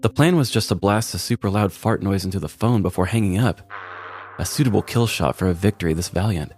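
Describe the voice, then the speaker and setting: A man's voice: sits low, brisk, a little mellow, soft background music. A man reading a scripted comic story as a podcast storyteller.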